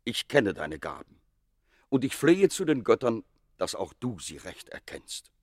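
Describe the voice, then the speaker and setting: A man's voice: medium pitch, sing-song, a little slow, slightly rough, no echo, clean studio sound. Solemn priestly father, dramatically swooping. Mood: solemn warning. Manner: solemn, admonishing, declamatory. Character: stern, devout father, an ancient temple priest. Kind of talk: German radio play acting.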